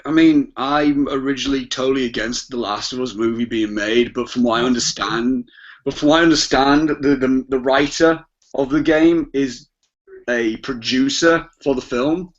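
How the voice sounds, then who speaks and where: A man's voice: medium pitch, normal speed. A man talking casually as a podcast panelist, informal and rambling as he discusses things. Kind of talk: casual film and game discussion. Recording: dull lo-fi podcast audio.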